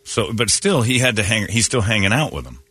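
Low raspy guy doing blunt joke riffs on a morning show, voice jumps around a lot. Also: very animated, fairly quick, pushed and bright, dry recording. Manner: blunt, mocking, breaks into put-on voices. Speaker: radio morning show host. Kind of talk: comedic banter, riffing.

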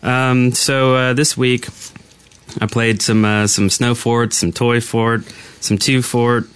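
A man co-hosting a gaming podcast in casual chat, rambling and halting, easily amused. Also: mid-range voice, little pitch movement, fairly bright tone, clear, resonant tone. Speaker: podcast co-host.

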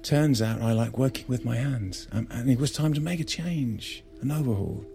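A man's voice: mid-range voice, moderate pace, vocal fry, warm tone, faint music behind, lightly acted. A man playing a defensive estranged husband who wants change, in a naturalistic audio drama, justifying himself with some hesitation. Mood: upbeat.

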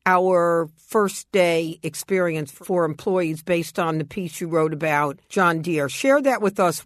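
A woman's voice: fairly low pitch, no echo, studio quality. A woman hosting a podcast, introducing segments and putting questions in a scripted presenter style. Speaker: podcast host and interviewer.

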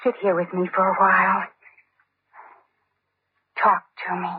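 A woman's voice: medium pitch, little pitch movement, measured pace, close to an everyday voice. An actress playing a haunted, wistful, unhinged lonely woman in old-time radio drama style. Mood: pleading, menacing. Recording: noisy, narrow-band old radio recording.

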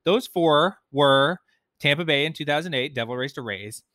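A man's voice: a little high, moderate pace, bright, forceful tone, dry recording. Dry-miked man, conversational sports panelist. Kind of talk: conversational sports data discussion.

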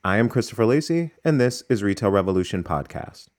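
A man's voice: lowish voice, expressive, fairly quick, a little mellow, a little hiss or hum. A man hosting a podcast interview, asking eager, casual questions with frequent restarts. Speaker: podcast host.

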